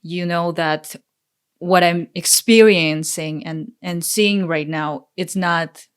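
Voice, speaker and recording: A woman hosting a casual interview, speaking in a hesitant, halting way as she searches for words. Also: lowish voice, clean tone. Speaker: podcast interviewer.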